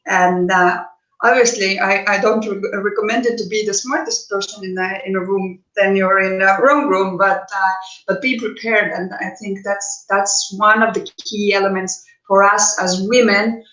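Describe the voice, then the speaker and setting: A woman's voice: mid-range voice, fairly even intonation, moderate pace, a bit bright, echoey room, low-quality recording. A woman from Estonia, a business coach, giving hesitant conversational interview answers with many restarts.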